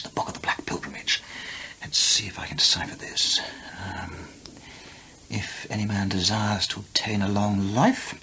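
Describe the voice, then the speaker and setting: An actor playing an inquisitive travel writer and amateur antiquarian, genteel, precise and chatty, in a radio drama. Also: male voice, on the low side, bright, forceful tone, slight background noise, full character voice, poor audio. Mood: hushed musing. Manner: genteel, precise, musing to himself. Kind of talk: radio drama acting and narrated journal.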